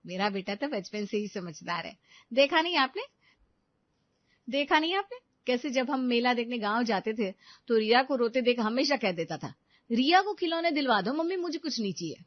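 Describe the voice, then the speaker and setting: Woman voicing an indulgent Indian mother, fairly high. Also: animated, moderate pace, dry recording, dull audio, natural voice. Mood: doting. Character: devoted middle-aged Indian mother and housewife, indulgent.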